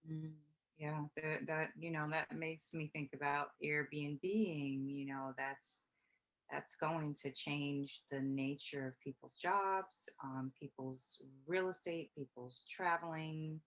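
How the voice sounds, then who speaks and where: A woman's voice: sits low, steady tone, slow pace, fairly bright tone. A woman hosting an interview, asking questions in an easygoing, encouraging way. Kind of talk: casual interview chat.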